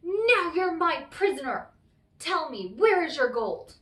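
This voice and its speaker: A woman's voice: very high voice, sing-song, a little slow, fairly bright tone, pitched above the performer's own voice, full character voice. A woman voicing a bold, scheming young man in a storybook folktale, speaking in a self-assured way. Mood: demanding. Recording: roomy, echoey, distant microphone.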